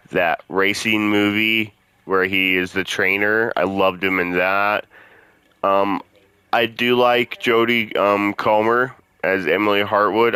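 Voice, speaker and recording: A man's voice: on the low side, bright, forceful tone, smooth. A man hosting a gaming video with casual, chummy, slangy commentary addressed to his viewers. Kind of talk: casual let's play commentary.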